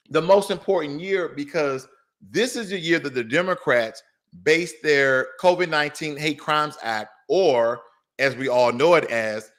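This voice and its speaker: A man's voice: a little high, fairly bright tone. An American man hosting a news commentary show, talking viewers through charts in a didactic, emphatic way and often checking that they follow.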